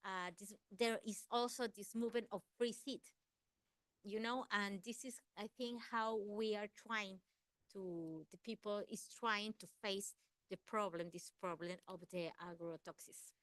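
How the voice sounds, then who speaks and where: A woman's voice: medium pitch, fairly even intonation, measured pace, fairly bright tone, dry recording, rough recording. A woman from Ecuador speaking English with an Ecuadorian Spanish accent, giving a seminar talk and answering questions earnestly, searching for words. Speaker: guest speaker, environmental campaigner.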